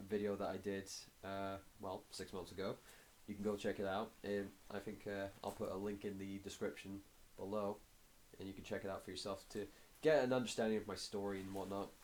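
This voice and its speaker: Hoarse, halting man with a low voice. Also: steady tone, slight background noise, low-quality recording. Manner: halting, many filler pauses. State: hoarse from a cold. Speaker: fitness vlogger. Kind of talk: casual progress update to camera.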